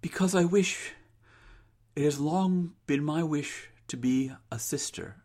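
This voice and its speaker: A man's voice: a little high, takes it slowly, a little mellow, voice pushed up. A man voicing an earnest, devout young woman, a dutiful but resolute daughter with formal old-fashioned speech. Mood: gentle, confiding. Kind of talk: dramatized reading of an old sentimental tale.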